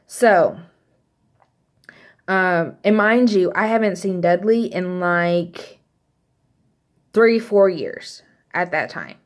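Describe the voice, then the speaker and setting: A woman's voice: on the low side, lively intonation, slow pace, pauses often. Chatty woman with a Texas accent. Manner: chatty, rambling, many fillers and asides. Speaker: vlogger. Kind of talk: casual storytelling.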